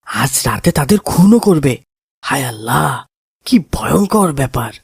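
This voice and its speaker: Woman as young cartoon boy, excitable, naive, husky, very animated intonation. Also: extremely low pitch, unhurried, no echo, studio quality, exaggerated voice. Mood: worried, fearful. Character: excitable, timid young boy, treasure-dreaming friend. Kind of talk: cartoon voice acting.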